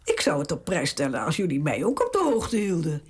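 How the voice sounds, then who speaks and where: A woman's voice: low-pitched, wildly swooping pitch, brisk, slightly rough, faint music behind, heavily acted. An actress playing an aging, strong-willed queen mother in a radio drama, talking in an imperious, indignant way. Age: older.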